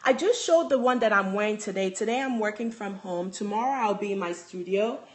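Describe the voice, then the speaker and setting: A woman's voice: mid-range voice, brisk. Woman, Nigerian accent, young adult, casual livestream chat, phone mic. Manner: chatty, restarts often, reads out comments. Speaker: handbag designer hosting a livestream. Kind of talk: casual livestream chat answering viewer comments.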